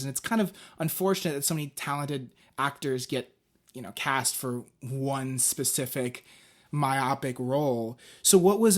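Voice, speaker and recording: Friendly male interview host. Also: mid-range voice. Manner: friendly, rambling questions with restarts. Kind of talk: interview questions.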